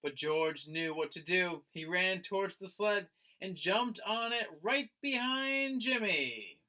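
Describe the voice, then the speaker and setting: A man, a teacher, reading a picture book aloud to young children in an expressive storytelling style. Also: high voice. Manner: expressive, slow, addressing listeners as friends.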